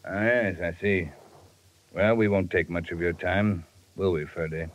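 Smug radio gangster boss, low voice, bullying under mock courtesy, muffled and hissy vintage recording. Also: male voice, measured pace. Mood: mock-polite menace. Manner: mock-polite, commanding. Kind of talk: 1950s radio detective drama acting.

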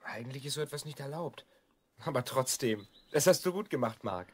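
A performer playing a cautious, concerned twelve-year-old boy in a German audio drama, husky and earnest. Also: medium pitch, animated, fairly quick. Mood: cautious, approving.

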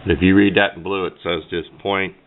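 A man's voice: steady tone, brisk. A middle-aged man giving a casual hands-on explanation in a rambling, plain-spoken way, correcting himself as he goes. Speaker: hobbyist demonstrating a homemade radio antenna build. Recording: low-fi muffled sound with clear background noise.

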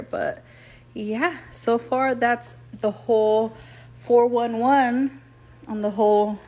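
A woman giving a casual tour of her house on a handheld phone, chatting with fillers and trailing thoughts. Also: mid-range voice. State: out of breath. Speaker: home vlogger. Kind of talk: casual home tour vlog. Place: two-story house indoors, then garage. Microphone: phone camera held in hand.

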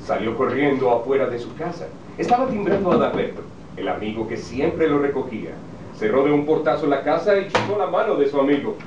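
A man reading scripted radio drama narration aloud. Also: medium pitch. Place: TV studio rehearsal set, indoors. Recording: echoey distant camcorder sound, muffled, noisy.

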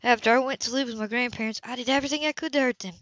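A woman voicing a tough, wounded woman of twenty-eight in an audiobook, quietly and matter-of-factly recounting. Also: fairly high pitch, racing, dry recording, lightly acted. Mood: bitter, controlled. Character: tough, wounded 28-year-old woman, controlled and unflinching. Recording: slightly dull home recording.

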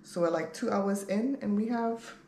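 A woman's voice: medium pitch, normal speed, warm tone. A woman in her thirties chatting casually to camera as a vlogger, candid and rambling. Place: bare-walled room at home, indoors. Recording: roomy echo, clear background noise.

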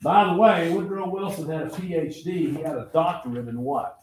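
A man's voice: on the high side, a little fast, a little mellow. An older man with an Oklahoma accent lecturing as a history teacher, emphatic, repeating key phrases and quizzing his listeners. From Oklahoma, United States. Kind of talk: classroom lecture. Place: medium-sized classroom, indoors. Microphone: far camera at back of room. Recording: echoey classroom, distant camera mic, background noise.

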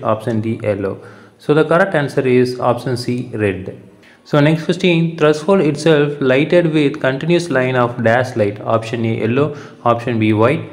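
A male tutor reads exam quiz material aloud at a medium pitch. His delivery is methodical and repetitive, moving through questions, lettered options and answers in the same set pattern. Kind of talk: reading out multiple-choice quiz questions and answers.

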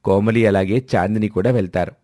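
A man's voice: lowish voice, steady tone, fairly quick, warm tone, no echo, studio quality. A man narrating a scripted family moral story.

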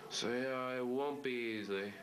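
A teenage male high school student confessing in a subdued, regretful way. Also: a little slow, background noise. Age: teens. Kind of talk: reflective interview confession. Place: school room with whiteboard.

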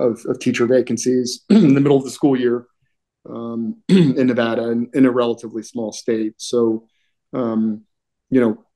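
A middle-aged man speaks at an ordinary pitch with a warm, dark tone. He talks from a room at home over a laptop microphone, full of "you know" and "um". He keeps stopping to clear his throat. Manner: conversational, many fillers, clears throat often. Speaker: union political director, guest. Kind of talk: casual video-call interview.

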